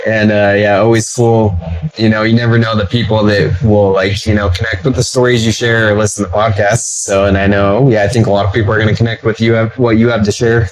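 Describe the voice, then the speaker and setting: A man's voice: lowish voice, fairly quick, crowd noise, rough recording. A man hosting a podcast from a car's back seat at a convention, chatting casually with lots of fillers. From California. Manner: relaxed, filler-heavy, upbeat. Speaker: podcast host. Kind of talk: casual interview chat.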